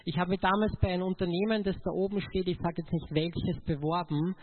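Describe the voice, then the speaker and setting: High-pitched Austrian man preaching, phone-like sound. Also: steady tone, brisk. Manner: emotional, emphatic, addresses listeners directly. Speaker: motivational speaker giving a testimony.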